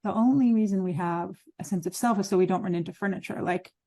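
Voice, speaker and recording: Woman, guest poet, reflective, musing, gravelly, dry sound. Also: mid-range voice, warm tone, no echo. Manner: reflective, musing, quick to laugh. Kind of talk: casual conversation.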